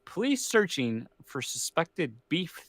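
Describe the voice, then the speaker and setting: Man, podcast co-host, relaxed short reactions, bright tense tone. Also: fairly high pitch, takes it slowly, dry recording. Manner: laid-back, short reactions. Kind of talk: casual chat while gaming.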